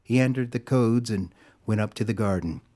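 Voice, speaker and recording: A man's voice: lowish voice, moderate pace, mellow. A man reading scripted story narration as the narrator of an audio drama.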